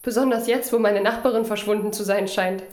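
An actress playing a guarded young night-shift worker in a radio crime play, dry, matter-of-fact and evasive. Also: female voice, mid-range voice, fairly even intonation, fairly quick, a little mellow, echoey room, natural voice. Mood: subdued.

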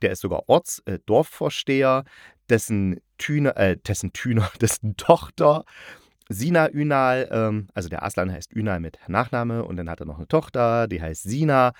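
Low-voiced man podcasting, dry sound. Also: animated, normal speed, dry recording. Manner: wry, dry ironic asides. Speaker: podcast host reviewing audio dramas. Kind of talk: casual solo podcast talk.

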